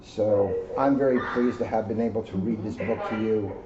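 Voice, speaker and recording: A man in his sixties, a police officer reading aloud to children and commenting informally in an earnest, expressive way. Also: lowish voice, normal speed, crowd noise. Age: 60s. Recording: muffled, noisy amateur video sound.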